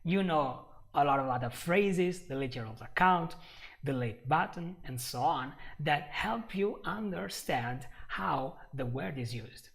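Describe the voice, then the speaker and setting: A man's voice: a little high, lively intonation, unhurried, vocal fry. A man with an Italian accent teaching English on a live stream, patient and repeating himself as he explains.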